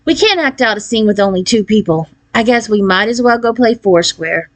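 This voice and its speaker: A woman's voice: medium pitch, animated, fairly quick, natural voice. A woman voicing an eager, self-assured fifth-grade schoolgirl in an emphatic, confident manner for a storybook. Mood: agreeable.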